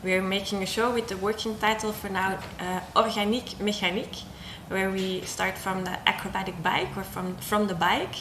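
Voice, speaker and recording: A young woman from Belgium talks casually about her circus work, pausing often on ums and restarting her sentences. Her voice sits at a middling pitch. The room is echoey, with clear background noise behind her. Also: fairly even intonation. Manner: hesitant, many ums and restarts. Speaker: circus artist. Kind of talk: casual interview talk.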